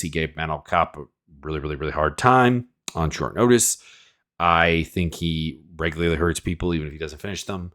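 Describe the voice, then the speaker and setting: A man's voice: low voice, a bit bright. A man with a cold, sniffling as he rambles through casual, opinionated fight predictions full of fillers. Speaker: MMA analyst host.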